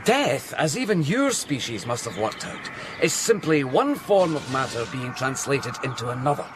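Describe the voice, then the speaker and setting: An actor playing a coolly menacing, polite alien in a radio drama, with a measured, condescending manner. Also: male voice, medium pitch, sing-song, over music, close to an everyday voice. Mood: smug.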